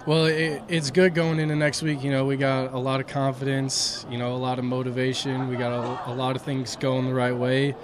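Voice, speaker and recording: A young man speaking in an even, flat tone, answering modestly and leaning on "you know" between thoughts. He talks into a handheld mic out in the stands of a ballpark. There is a clear wash of outdoor noise behind him. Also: mid-range voice, brisk, hardly any pauses. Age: young adult. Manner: modest, soft-spoken, full of "you know" fillers. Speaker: college baseball pitcher. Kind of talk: post-game interview. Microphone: handheld mic held by interviewer.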